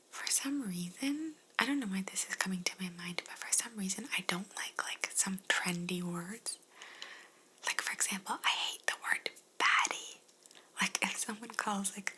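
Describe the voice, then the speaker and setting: A woman speaking in a breathy whisper, chatting casually and gently as she answers questions in an ASMR style. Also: mid-range voice, poor audio. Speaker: ASMR video creator answering viewer questions.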